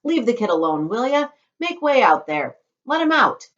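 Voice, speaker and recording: A woman's voice: low voice, fast talker, fairly bright tone, dull audio. A woman voicing a fat male shopkeeper, brusque yet kindly and protective. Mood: commanding.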